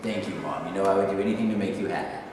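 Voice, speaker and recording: A man's voice: low-pitched, racing, darkish tone, lightly acted. A man acting a polite, devout teenage basketball star in a staged reading, speaking earnestly and a little hesitantly. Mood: dutiful, apologetic. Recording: very echoey hall, distant mic, noisy.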